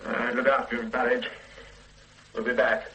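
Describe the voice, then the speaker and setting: A man's voice: medium pitch, level tone, moderate pace, pushed and bright, slight background noise, close to an everyday voice, poor audio. An actor playing a methodical male police detective in a radio play, asking formal, politely probing questions. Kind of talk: 1970s-80s radio play acting.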